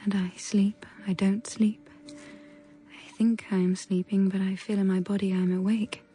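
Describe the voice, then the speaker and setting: An actress playing a haunted young governess who narrates in a hushed, breathy, halting way, fearful and protective. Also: female voice, mid-range voice, little pitch movement, soft background music, lightly acted. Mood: uneasy, hushed. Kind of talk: intimate gothic audio drama narration.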